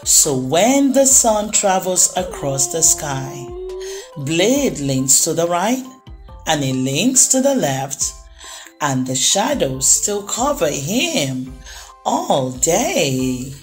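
Slow, expressive woman storyteller for children. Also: low voice, soft background music. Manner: slow, dramatic phrasing with drawn-out pauses. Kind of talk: expressive read-aloud storytelling for young children.

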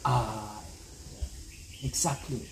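A man's voice: mid-range voice, drawn-out words, gravelly, background noise. Emphatic Jamaican man talking outdoors. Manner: emphatic, repeats "you see it?", gestures while talking. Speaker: guest. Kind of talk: animated outdoor conversation. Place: open-air pavilion in a garden.

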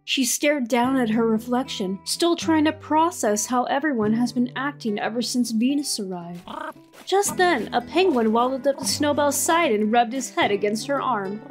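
A woman narrating an audio drama in a calm, even storybook manner. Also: fairly high pitch, moderate pace, soft background music.

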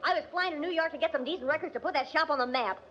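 A woman's voice: high voice, racing, fairly bright tone, a little hiss or hum. An actress playing a feisty, indignant young woman in emphatic 1940s radio style. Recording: muffled 1940s radio recording.

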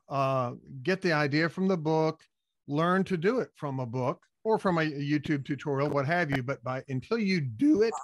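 A man's voice: on the high side, no room sound. Folksy older man hosting, dry sound. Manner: folksy, easygoing, chuckles. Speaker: podcast host. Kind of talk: casual interview hosting. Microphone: podcast mic on stand, video call.

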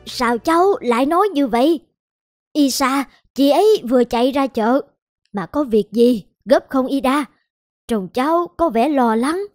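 A woman playing a kindly, concerned older neighbour auntie in an animated fairy tale, speaking in a soothing way. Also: sits high, measured pace, no echo, clean studio sound, soft background music.

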